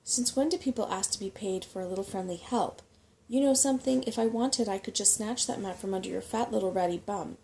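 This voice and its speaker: A woman voicing a prickly, suspicious brownie girl in a soft-spoken storybook reading, with a blunt, confrontational manner. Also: medium pitch, fairly quick, gravelly, a little hiss or hum, natural voice. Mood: threatening. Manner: grumbling, confrontational.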